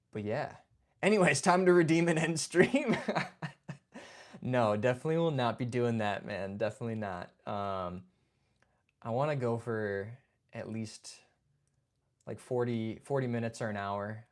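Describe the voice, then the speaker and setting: A young man hosting a casual livestream chat. He reads viewer comments, greets people by name and keeps saying "man" and "dude". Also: fairly low pitch. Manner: laid-back, chatty, says "man" and "dude". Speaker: livestream host.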